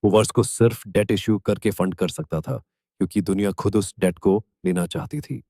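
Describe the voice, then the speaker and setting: A man with an Indian accent reading scripted documentary narration in measured, emphatic short phrases. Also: fairly low pitch, dark tone, breathy edge, no echo, clean studio sound.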